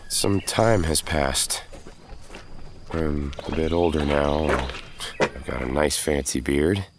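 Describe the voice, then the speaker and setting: A man's voice: very deep voice, takes it slowly, background noise. A man giving scripted narration for an audio drama, telling the story in the present tense. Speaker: narrator and lead character. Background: music.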